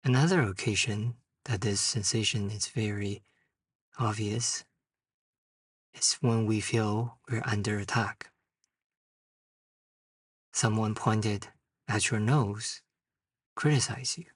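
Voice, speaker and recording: A man guides a meditation in a lowish, husky voice. He speaks very slowly, in short phrases separated by long pauses. The sound is distant from the microphone. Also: fairly even intonation, studio quality. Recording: distant mic in a roomy, echoing space.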